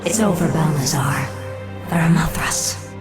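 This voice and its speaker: Cold undead queen, low slow icy orders, loud music. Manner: icy, clipped commands.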